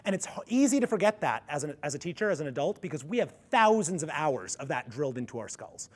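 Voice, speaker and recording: A man's voice: on the high side, quick pace. Ringy-voiced man leading a workshop. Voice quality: ringy, resonant speaking voice. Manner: energetic, coaching the audience through vocal exercises. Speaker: music education workshop presenter. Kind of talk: conference workshop talk. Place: conference room with a live audience.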